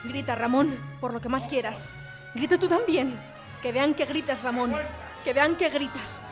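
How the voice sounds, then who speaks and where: A woman's voice: high-pitched, normal speed, close to an everyday voice. An actress playing a frightened, insistent mother who urgently pleads with her husband in a radio drama. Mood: desperate urging. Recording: music and crowd noise behind. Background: music and crowd.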